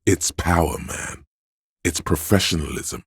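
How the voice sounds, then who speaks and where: An actor voicing a seductive, hedonistic inner voice of addiction and lust in a video game, savoring words in a husky, insinuating way. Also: male voice, a little slow, no room sound, studio quality, full character voice. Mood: coaxing, knowing. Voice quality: deep, gravelly, husky. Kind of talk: video game character narration.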